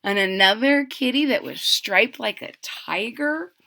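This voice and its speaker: Woman, storybook read-aloud, bright tone, lively intonation, unhurried, explanatory asides for children. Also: on the high side, vocal fry. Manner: expressive, drawn-out emphasis, explains to young listeners. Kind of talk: animated picture-book read-aloud with chatty asides.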